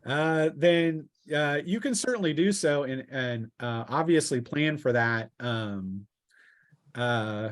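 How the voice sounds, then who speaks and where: A man narrating a tabletop game as game master in a casual, halting, improvised way. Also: mid-range voice, expressive.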